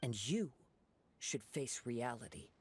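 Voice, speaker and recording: An actor, a man, playing a calm, perceptive young wandering samurai in a video game, speaking in a measured, eloquent, formal way. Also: medium pitch, a little hiss or hum. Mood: reproving, resolute. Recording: music behind the voice.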